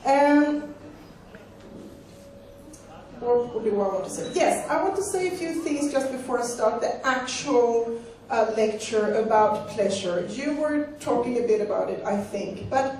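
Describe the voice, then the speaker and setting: A woman's voice: on the high side, lively intonation, measured pace, a little mellow. A woman in her forties with a Swedish accent, a native Swedish speaker, giving a live lecture on sex education in a conversational way and often repeating words as she searches for them. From Sweden. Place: hall. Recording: echoey hall recording from far off.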